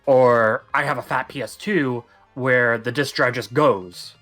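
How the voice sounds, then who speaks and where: A man's voice: mid-range voice, steady tone, a little slow, a bit bright, soft background music. A young man co-hosting a casual podcast, rambling and emphatic as he talks at length. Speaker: podcast co-host. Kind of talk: casual chat.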